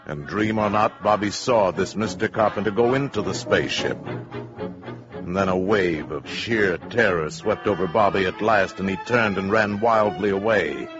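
A man's voice: low voice, moderate pace, lightly acted. A man with an American accent narrating a 1950s science fiction radio drama in a dramatic, suspenseful way. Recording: muffled 1950s radio recording, music behind.

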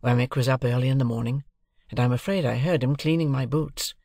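A man's voice: medium pitch, a little fast, dark tone, no echo, studio quality. A man reading a classic novel as scripted audiobook narration.

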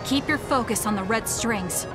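A woman's voice: a little high, normal speed, close to an everyday voice. An actress voicing a focused, forthright young woman fighter in an anime game. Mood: firm. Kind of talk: dramatic anime game voice acting. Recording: loud background music.

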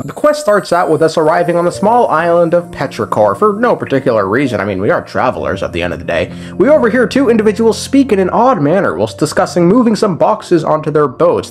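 A man giving a casual, joking recap commentary on game lore, with slang and swearing. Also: on the high side. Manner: irreverent, joking, profane. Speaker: video creator explaining game lore. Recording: music behind the voice. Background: background music.

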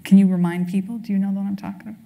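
A woman moderating a stage panel in a friendly, chatty tone. Her voice has a gravelly, creaky edge. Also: mid-range voice, level tone, rapid-fire, warm tone. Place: stage at a public talk, indoors.

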